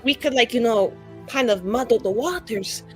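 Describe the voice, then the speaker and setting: A woman's voice: a little high, normal speed, soft background music. Chatty young-sounding woman improvising at game table. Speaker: tabletop roleplaying player. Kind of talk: casual improvised in-character roleplay.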